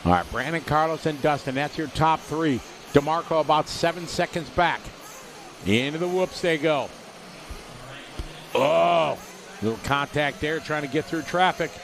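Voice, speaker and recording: A man's voice: on the high side, vocal fry, fairly bright tone, a little hiss or hum. Man calling an RC race live. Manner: rapid play-by-play, reads viewer chat aloud. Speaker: race commentator.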